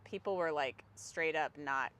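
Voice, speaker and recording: A woman reporter chatting as a guest, lively and laughing as she tells stories, in an outdoor tent studio. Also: low-pitched, unhurried. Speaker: legal reporter, interview guest. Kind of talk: casual chat.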